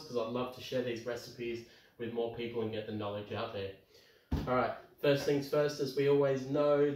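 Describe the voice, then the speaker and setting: A man in his thirties with an Australian accent, slightly croaky from illness, chatting casually through a cooking demonstration in his home kitchen with plenty of ums. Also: medium pitch. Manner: chatty, upbeat, lots of ums. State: croaky from tonsillitis. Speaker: home cooking show host. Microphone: camera across the kitchen. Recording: roomy kitchen sound, far from mic.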